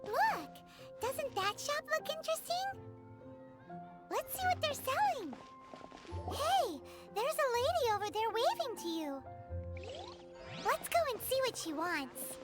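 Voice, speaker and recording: An actress voicing a tiny, excitable, cheeky fairy companion in a video game, with a squeaky childlike voice. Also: female voice, slow pace, pauses often, heavily acted. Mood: chatty, eager. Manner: exclamatory, refers to self in third person. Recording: clean voice over loud game music.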